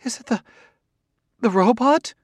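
Man putting on a high, distraught, pleading woman. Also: moderate pace, no echo, clean studio sound, lightly acted. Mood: tremulous. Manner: high, breathless, emotional pleading. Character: distraught, frightened, guilt-ridden wife, pleading and tearful. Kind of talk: audiobook character acting.

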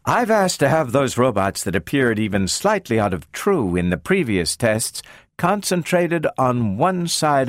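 A man's voice: mid-range voice, no echo, studio quality. A man voicing a commanding, exacting woman scientist in an audiobook, with clipped, precise delivery. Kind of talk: dramatic audiobook character reading.

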